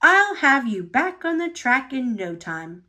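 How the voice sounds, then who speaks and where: A woman's voice: sits high, sing-song, a little slow, sharp tone, exaggerated voice. A woman voicing a kind, determined male steam engine friend in a children's storybook, reassuring and caring in manner.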